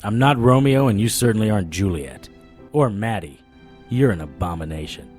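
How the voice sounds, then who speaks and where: Low-voiced hard-boiled investigator played by a man. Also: animated, normal speed, darkish tone, soft background music, lightly acted. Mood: pleading, defiant. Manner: hard-boiled, dramatic storytelling. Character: haunted, dogged supernatural investigator narrating his nightmare. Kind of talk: first-person audio drama narration.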